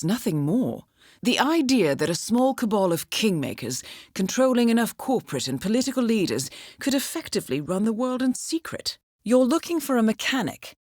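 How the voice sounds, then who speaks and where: A woman's voice: medium pitch, dry recording, natural voice. Actress doing a cool spy handler lady for a video game, composed n formal, dry wit, really varied intonation, super dry studio sound. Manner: composed, formal, measured, dryly understated. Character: cool, poised female intelligence handler guiding an assassin. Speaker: mission handler in a stealth assassin video game. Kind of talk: scripted video game mission briefing.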